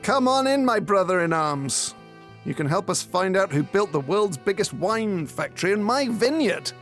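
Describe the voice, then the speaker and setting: An actor playing a pompous, melodramatic detective inspector with florid, theatrical speech in a comic audio drama. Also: male voice, high-pitched, very animated, moderate pace, fairly bright tone, faint music behind. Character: pompous, melodramatic male detective inspector with grandiose self-regard.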